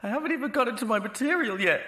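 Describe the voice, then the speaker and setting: Man playing a high-voiced, hapless compere. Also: animated, rapid-fire, bright, forceful tone, full character voice. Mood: hurt. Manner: plaintive, projecting. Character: wounded, hapless male comedy night compere. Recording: noisy club with clear crowd ambience.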